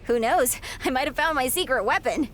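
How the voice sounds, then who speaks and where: Friendly young female wrestler, high voice, chatty, playful, casual, game cutscene, faint noise. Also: animated, brisk, fairly bright tone. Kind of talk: scripted video game cutscene acting.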